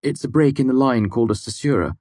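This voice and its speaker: A man's voice: mid-range voice, fast, darkish tone, no echo, studio quality, pitched above the performer's own voice, natural voice. A man voicing a shy, bookish young man who talks earnestly. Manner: earnest, slightly flustered.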